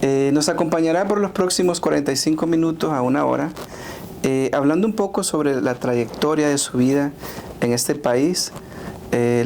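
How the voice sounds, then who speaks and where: A man's voice: medium pitch, a little mellow, slight background noise. A man from El Salvador hosting a podcast interview, speaking with many "eh" fillers and short affirmations. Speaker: podcast host. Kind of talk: conversational interview.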